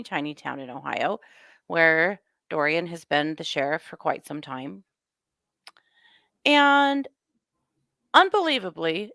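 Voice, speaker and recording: A hoarse woman co-hosting a podcast, telling a story in casual chat with wry joking asides. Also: mid-range voice, fairly bright tone, dry recording, clean studio sound. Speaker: co-host.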